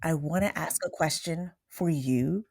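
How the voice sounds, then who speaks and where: A woman hosts a podcast interview with a slightly low voice. She is upbeat and chatty and laughs often between her thoughts. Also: a little slow, darkish tone, no echo, clean studio sound. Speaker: podcast host. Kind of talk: casual interview chat.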